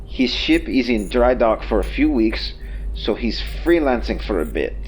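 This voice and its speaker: A nervy, theatrical starship engineer, acted by a man in a sci-fi audio drama. His mid-pitched voice swings expressively over faint music. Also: expressive, measured pace, lightly acted, poor audio. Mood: reassuring. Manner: theatrical, sprinkles in Spanish exclamations.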